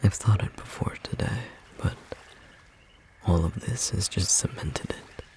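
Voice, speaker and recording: A man playing a gentle, romantic storm sorcerer in intimate ASMR roleplay, speaking tenderly in a soft, breathy, slightly rough voice. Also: low-pitched. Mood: admiring, tender. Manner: soft, slow, tender murmuring with pauses.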